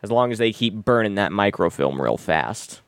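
A man's voice: on the low side, lively intonation, normal speed, gravelly, clear, resonant tone, no room sound, clean studio sound. A man co-hosting a podcast in casual, irreverent banter, joking and ranting with plenty of profanity and sarcasm. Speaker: podcast co-host.

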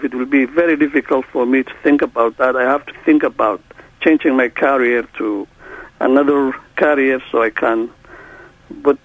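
A man whose first language is Somali, a court interpreter speaking as a guest in a halting way, with many restarts. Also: on the high side, no room sound. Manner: halting, restarts often, non-native phrasing. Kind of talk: phone interview. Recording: tinny phone line.

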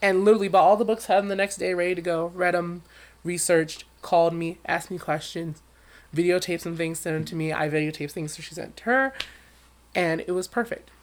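A man who sings professionally, talking as an interview guest with a high voice and an animated, storytelling manner. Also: fairly quick. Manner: animated storyteller, acts out quotes with big leaps in pitch. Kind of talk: conversational interview.

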